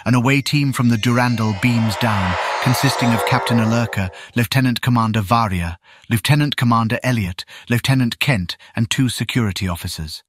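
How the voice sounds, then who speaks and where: A man's voice: medium pitch, a little fast, few pauses, husky. A man narrating scene directions for an audio drama in a scripted style.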